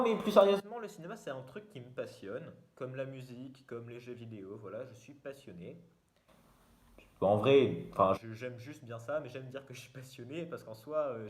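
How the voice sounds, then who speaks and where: A man's voice: medium pitch, fairly bright tone. A young man, hosting a casual vlog in a rambling, jokey way, full of fillers and restarts. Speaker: YouTuber. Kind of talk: casual self-mocking vlog.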